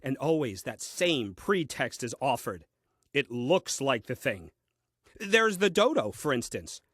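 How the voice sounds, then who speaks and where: An actor playing a grumbling, solitary first man reading out his diary in a comic audio play, with a dry, deliberate manner. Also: medium pitch, very animated, a bit bright, breathy edge, no echo, full character voice. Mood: exasperated, dry.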